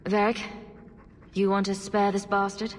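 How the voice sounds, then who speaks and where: An actress playing a composed, resolute adventurer heroine in a video game, measured and determined. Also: female voice, mid-range voice, flat delivery, lightly acted. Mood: questioning, firm. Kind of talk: dramatic video game acting.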